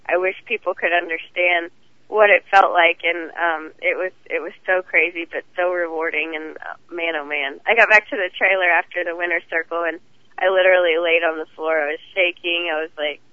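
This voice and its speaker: A hoarse woman with a cold, talking as the guest in a phone interview, chatty and rambling. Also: lowish voice, fairly even intonation, brisk, no echo. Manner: chatty, rambling, laughs easily. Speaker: guest champion driver. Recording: tinny phone line.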